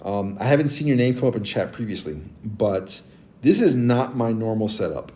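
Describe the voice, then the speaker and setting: A man in his forties with a naturally deep, mellow voice chats with his viewers and hands out friendly advice, pausing on ums and starting over. He talks into a tablet mic. Faint noise sits behind him. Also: sing-song. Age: 40s. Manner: friendly, advice-giving, many ums and restarts. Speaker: streamer. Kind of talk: casual chat with stream viewers. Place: abroad, streaming on a tablet.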